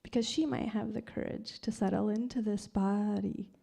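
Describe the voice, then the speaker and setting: A woman in her thirties performing poetry at a live reading, mixing English and Spanish, swinging between hushed whispers and loud cries. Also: mid-range voice, fairly even intonation, moderate pace, gravelly, husky. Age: 30s. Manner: dramatic, shifting from whispers to screams. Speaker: poet reading at a live event.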